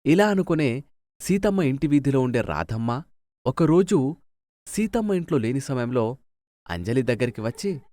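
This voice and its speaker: Male narrator, medium pitch, animated intonation, scripted storytelling delivery. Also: moderate pace, long pauses, no echo.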